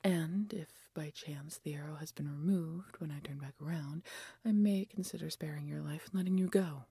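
A woman voicing a proud, haughty wounded female dragon in a fantasy audio roleplay, with breathy, husky, imperious delivery. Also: low voice, warm tone, no room sound, studio quality, full character voice. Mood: grudging, proud. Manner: imperious, theatrical, deliberate phrasing.

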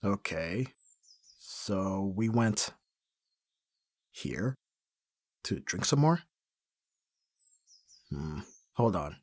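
Husky, low-voiced, flustered young man, acted. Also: big pitch swings, very slow, long pauses, exaggerated voice. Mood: puzzled, piecing together. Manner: hesitant, sighing, stammering. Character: flustered, awkward young man, listener's best friend. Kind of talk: ASMR roleplay, one-sided intimate dialogue.

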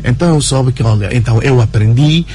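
A writer and journalist as a radio interview guest, talking in an animated way with many fillers and tag questions. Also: male voice, mid-range voice, fast talker, a little hiss or hum. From Angola. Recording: radio broadcast recording.

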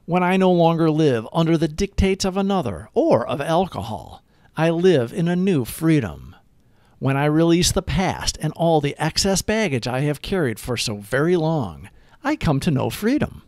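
A man narrating a scripted daily reflection in a measured, earnest, declarative way. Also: a little high, sing-song, darkish tone, dry recording. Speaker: narrator of daily recovery readings. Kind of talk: scripted read-aloud reflection.

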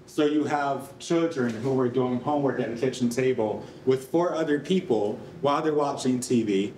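A young man giving an informal, animated live presentation in a conversational way, as a project presenter. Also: mid-range voice, moderate pace. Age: young adult. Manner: conversational, animated, frequent "like" and "you know". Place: concrete-walled rehearsal hall before an audience. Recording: roomy, distant mic, faint noise.

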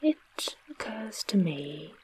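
A reader voicing a calm, literal-minded clockwork man in a soft whisper, read slowly. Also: mid-range voice, slight background noise. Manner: whispered, matter-of-fact.

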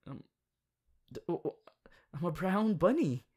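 An affectionate young anime bunny boy, acted by a woman for an audio roleplay. The voice is soft and lowish for a woman, unhurried, with very lively swings in pitch. The delivery is hesitant and excitable. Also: husky, exaggerated voice. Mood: puzzled. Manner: hesitant, giggly, swinging from murmurs to excited exclamations. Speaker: voice actor in ASMR roleplay.

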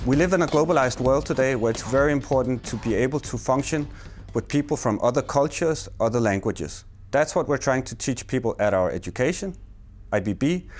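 A man in his thirties, a teacher, explaining calmly in an interview filmed in an auditorium. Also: mid-range voice, fairly quick, a little hiss or hum. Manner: calm, explanatory. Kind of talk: interview statement. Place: auditorium with red seats.

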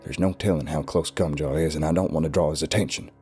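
A man's voice: a bass voice, fairly even intonation, fast talker, dark tone, slightly rough, soft background music. A man with a Southern US accent voicing a stern, ominous older recluse.

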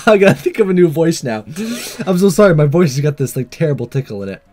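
A man reads a visual novel aloud as a let's play host. His delivery is lively and casual, slipping in commentary, with game music behind him. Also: a little high, fairly quick.

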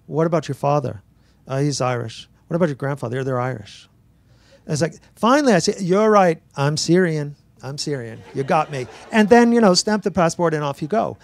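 An older man, an author at a bookstore talk, telling stories to an audience on a handheld mic, animated and acting out dialogue with frequent restarts. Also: a little high, a little fast, creaky. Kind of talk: relaxed storytelling to an audience. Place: bookstore event space.

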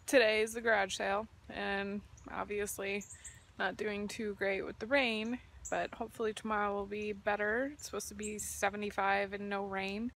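A woman's voice: mid-range voice, level tone, normal speed, creaky. A woman in her twenties chatting candidly into a phone held at arm's length, thinking aloud as she talks about her plans. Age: 20s. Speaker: vlogger. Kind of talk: casual selfie vlog chat. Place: outdoors on a windy lakeside pier. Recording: loud wind noise on the phone mic.

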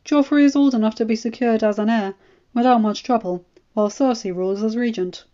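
A woman reads as a shrewd court spymaster in a fantasy story. The voice is raised high, with a formal, indulgent, knowing delivery. Every phrase sounds like calculated scheming. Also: fairly quick, dark tone, muffled recording, close to an everyday voice. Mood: smooth, knowing. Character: shrewd, smooth, scheming male royal spymaster.

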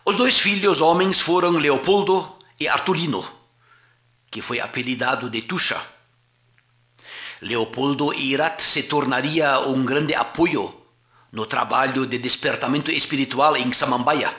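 A man, a pastor, narrating a documentary history in a measured, formal reading voice. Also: mid-range voice, bright, forceful tone, reverberant.

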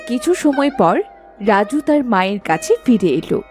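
A woman's voice: mid-range voice, lively intonation, moderate pace, over music. A woman giving scripted narration for a cartoon folk story.

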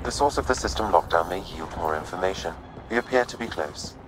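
An actor playing a calm, analytical artificial intelligence in a game, speaking in a formal, precise and detached way. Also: male voice, low voice, brisk, noisy background. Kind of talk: game voice acting, informational reporting.

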